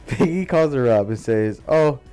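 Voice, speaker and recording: A man's voice: lowish voice, normal speed, a little mellow, faint music behind. Young man telling a rambling casual story. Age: young adult. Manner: slangy, sweary, rambling.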